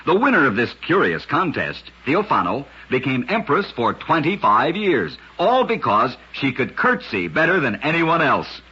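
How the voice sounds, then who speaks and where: A man narrating short scripted stories as host of a vintage radio program, with a husky, gritty voice and a dramatic storyteller's delivery, on an old radio recording. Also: medium pitch, very animated, moderate pace, few pauses, pushed and bright, lo-fi sound.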